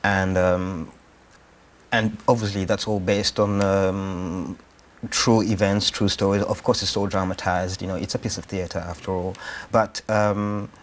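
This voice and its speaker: A man's voice: low-pitched, flat delivery, a little slow. A man from Rwanda answers interview questions conversationally, hesitating as he thinks aloud. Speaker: actor-writer being interviewed.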